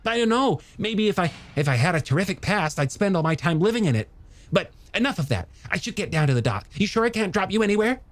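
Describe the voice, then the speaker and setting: Animated, higher-voiced eager young man, played by a narrator. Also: fast talker, no echo. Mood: frank, wry. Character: eager, chatty, self-deprecating younger man in a novel. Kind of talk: audiobook character dialogue.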